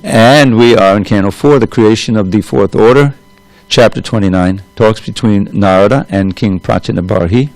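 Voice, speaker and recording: A man leading a devotional scripture class, reciting opening prayers slowly and in a measured way into a room microphone in a temple. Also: lowish voice, dry recording, low-quality recording. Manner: loud voice. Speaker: class speaker reading scripture. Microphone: room microphone with adjustable volume.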